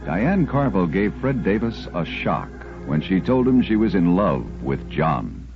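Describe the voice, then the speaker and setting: A man narrating a 1940s-style radio serial as its announcer, in a dramatic, deliberate manner. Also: low-pitched, very animated. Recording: muffled vintage radio broadcast. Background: organ music.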